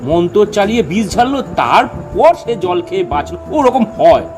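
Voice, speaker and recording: Comedy audio drama: male actor as excitable know-all scientist, didactic and insistent, high male voice with lively, wide-ranging intonation. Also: brisk. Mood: eager. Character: pedantic, excitable male amateur scientist lecturing eagerly. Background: background music.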